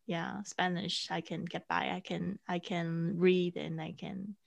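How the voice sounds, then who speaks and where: Slow, gravelly-voiced woman, Mandarin native. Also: lowish voice, flat delivery, muffled recording. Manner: unhurried, with frequent fillers and restarts. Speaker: guest, online teacher and podcaster. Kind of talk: casual interview conversation.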